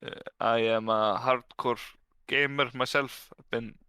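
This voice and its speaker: A man's voice: medium pitch, fairly even intonation, measured pace, bright, forceful tone, smooth, dry recording, studio quality. A man from Iceland, a brand director and guest, answering interview questions in an easygoing way with frequent restarts.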